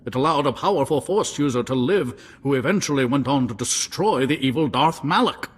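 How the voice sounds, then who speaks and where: An actor playing a pompous, apologetic nobleman in a video game, speaking formally and haltingly. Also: medium pitch, lively intonation, a little hiss or hum, lightly acted. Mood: solemn, pleading. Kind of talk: video game cutscene acting.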